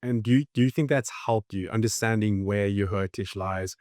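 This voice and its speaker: An interviewer asking hesitant, halting questions in a conversational interview. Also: medium pitch, darkish tone, no echo, studio quality.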